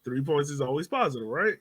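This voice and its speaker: A man hosting a casual soccer fan podcast, talking in an animated, playful, slangy way. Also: on the high side, breathy edge. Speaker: sports podcast host.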